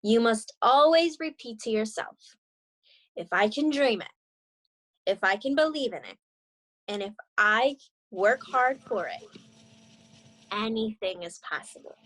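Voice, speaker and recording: A woman's voice: on the high side, animated, unhurried, pauses often, fairly bright tone, low-quality recording. A woman voicing a loving, encouraging mother in a children's storybook, soothing her daughter.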